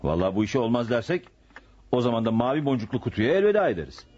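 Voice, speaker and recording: A man's voice: mid-range voice, very animated, rapid-fire, a little mellow, close to an everyday voice. An actor playing a scheming, greedy middle-aged father in radio theatre, flustered, hesitant and repeating himself. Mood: calculating. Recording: dull lo-fi radio recording, music.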